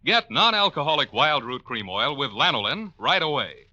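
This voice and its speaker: A man's voice: medium pitch, sing-song, moderate pace, pushed and bright, no echo, lo-fi sound. An American man working as a radio announcer, giving a polished, emphatic scripted read in 1940s style.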